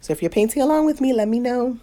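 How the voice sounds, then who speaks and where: Woman, stuffy-nosed painting teacher, mellow tone, easygoing and chatty with viewers. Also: a little high, expressive, quick pace. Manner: chatty, laughs often, talks to viewers. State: stuffy nose, sniffly. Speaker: watercolor painting instructor. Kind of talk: casual live tutorial talk.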